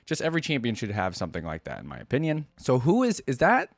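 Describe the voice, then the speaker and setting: A man's voice: mid-range voice, huge pitch swings, fast talker, gravelly, dry recording. A man hosting a reaction video, talking casually and directly to viewers in a rambling, conversational way and often hedging his opinions.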